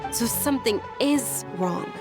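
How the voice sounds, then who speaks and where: A gentle, composed young noble lady. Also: a little high, animated, over loud music. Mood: concerned. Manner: polite, measured, articulate. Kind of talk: video game voice acting.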